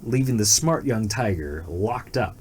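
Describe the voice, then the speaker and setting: A storyteller giving an expressive scripted narration of a fairy tale. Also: medium pitch, measured pace, slight background noise.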